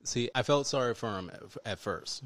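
A man in his thirties, a guest comedian on a podcast, chatting in a laid-back, jokey way with low asides. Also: moderate pace, a bit bright. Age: 30s. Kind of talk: casual comedy podcast chat.

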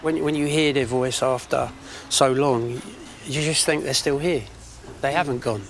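A man's voice: medium pitch, fairly even intonation, moderate pace, over a noisy crowd. A man in his sixties chatting casually and reminiscing as a guest in a barn workshop. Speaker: guest bringing an heirloom for repair. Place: large timber barn workshop.

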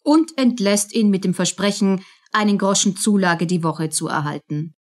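Female narrator for a radio play, medium pitch, scripted storytelling delivery. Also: moderate pace.

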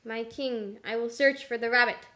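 A woman acts out a brave storybook turtle, courteous and trusting. The voice is fairly high with a bright, forward edge, and speaks with earnest formality. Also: steady tone, moderate pace, natural voice. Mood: earnest, polite.

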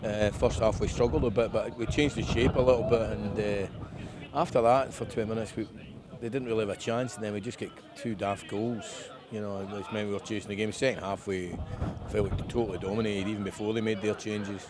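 Frank football manager in the wind. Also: male voice, lowish voice, steady tone, a little fast. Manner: frank, conversational, plain-spoken. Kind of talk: post-match interview. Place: outdoors at a football ground, windy. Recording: outdoor recording with wind noise.

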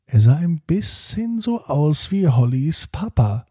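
A man narrating a scripted bedtime story for children in a gentle storytelling manner. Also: a little high, big pitch swings, slow pace, slightly rough, no room sound, studio quality.

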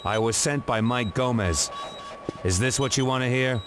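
A man's voice: medium pitch, moderate pace, natural voice. A man playing a guarded fugitive agent in a video game, speaking in terse, wary phrases. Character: guarded male fugitive agent searching for his memories. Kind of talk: video game cutscene acting. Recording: music behind the dialogue.

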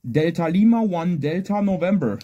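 A high-pitched, soft-toned man operates a ham radio outdoors. He speaks in clipped, repeated contest exchanges and phonetic spelling, over faint noise. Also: lively intonation, normal speed, lo-fi sound. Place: outdoors at a garden picnic table.

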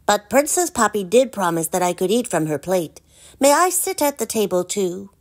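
A woman's voice: medium pitch, sing-song, normal speed. A woman voicing a pushy, greedy male frog in a storybook reading, wheedling and demanding. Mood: cheeky.

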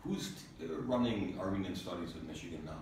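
Low-voiced American man in an echoey room. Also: big pitch swings, quick pace, a little mellow. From New England, USA. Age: middle-aged. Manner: joking, teasing. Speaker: think tank director hosting a meeting. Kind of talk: informal meeting talk. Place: small office meeting room. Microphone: distant camera mic. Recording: echoey, noisy room, far mic.

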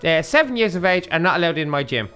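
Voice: mid-range voice, very animated, fast, a bit bright, clear, resonant tone. A performer voicing a silly, boastful cartoon dog in a playful, informal Minecraft roleplay. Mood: proud. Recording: music behind the voice.

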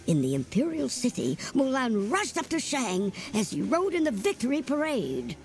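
Lively, high-voiced woman reading a storybook. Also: normal speed, soft background music. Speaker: storybook reader. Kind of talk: read-aloud storybook narration.

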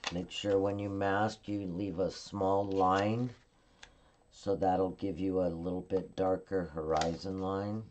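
A woman at her craft table giving a calm, step-by-step card-making tutorial while she works. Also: very deep voice, takes it slowly, slight background noise. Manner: calm, instructive, narrating her hands-on work.

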